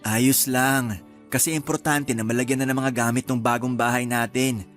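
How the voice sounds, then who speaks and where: A man's voice: medium pitch, moderate pace, faint music behind, lightly acted. A man playing an easygoing Filipino husband. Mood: cheerful. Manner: relaxed, cheerful, sighing. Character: young husband, hardworking and easygoing. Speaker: voice actor in a radio drama. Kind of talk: radio drama acting.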